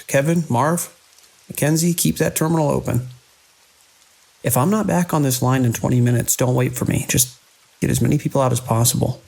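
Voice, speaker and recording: An actor playing a beleaguered, idealistic hospital director in a horror audio drama, speaking earnestly and urgently in halting phrases. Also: male voice, medium pitch, gravelly, husky, rough recording. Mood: resolute, urgent.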